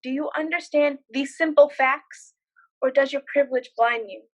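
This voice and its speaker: A woman acting an outspoken high-voiced young woman. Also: normal speed, lo-fi sound, natural voice. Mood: indignant, scolding. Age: young adult. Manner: sarcastic, firmly lecturing. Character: outspoken, indignant young paralegal woman on a date. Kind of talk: student one-act stage drama.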